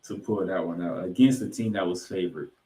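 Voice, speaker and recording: A man co-hosting a sports podcast, recapping football games and reading out stats with emphatic, drawn-out figures. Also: deep voice, warm tone. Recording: roomy, echoing sound.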